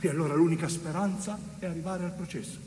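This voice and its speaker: A man acting a wrongly jailed young bricklayer in a theatrical monologue, anguished and pleading, speaking in halting, emphatic phrases. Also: fairly high pitch, fairly even intonation, dark tone, a little hiss or hum, natural voice. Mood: bitter, desperate. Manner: dramatic, halting short phrases with emphatic repetition. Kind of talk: theatrical monologue, stage narration. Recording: reverberant echoing hall, music underneath.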